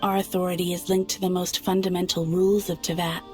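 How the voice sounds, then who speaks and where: A woman's voice: lowish voice, little pitch movement, fast talker, a little mellow, faint music behind, lightly acted. A woman voicing a pragmatic, authoritative moon goddess in an anime game, formal and matter-of-fact. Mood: cool, skeptical. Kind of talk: anime game cutscene acting.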